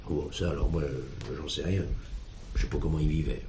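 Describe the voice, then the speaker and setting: An actor playing a plainspoken farmer in a drama, talking in a colloquial, rambling way. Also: male voice, rumbling low, fairly even intonation, fairly quick, dark tone, a little hiss or hum. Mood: matter-of-fact, reflective. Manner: colloquial, rambling, punctuated with verbal tags. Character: weary farmer talking about his estranged brother.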